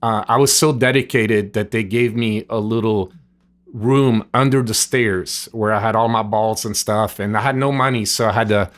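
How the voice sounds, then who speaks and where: Low-voiced Swiss man telling his story. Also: steady tone, moderate pace. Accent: French-speaking Swiss. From Switzerland. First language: French. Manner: earnest, rambling with "like" and "you know". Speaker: guest, nutrition store owner. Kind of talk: storytelling interview answers. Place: gym.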